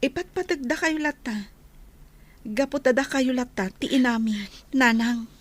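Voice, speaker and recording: A woman playing a soft-hearted, respectful younger woman in an Ilocano audio drama, with an Ilocano accent. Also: high-pitched, unhurried. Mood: pleading, remorseful. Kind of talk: radio drama acting.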